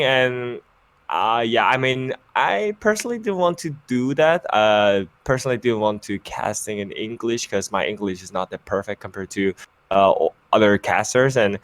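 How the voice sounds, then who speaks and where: A young man speaks English with a Korean accent. His voice sits low, the sound is dry and close, and he talks haltingly with many fillers and self-corrections. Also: smooth, no echo. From Busan, South Korea. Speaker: esports caster and former pro player. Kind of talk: casual interview over a call.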